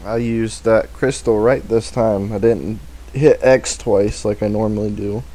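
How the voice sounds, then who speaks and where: A man's voice: on the low side, steady tone, measured pace, dark tone. A man giving casual, rambling gameplay commentary as a let's play host, with a self-deprecating manner.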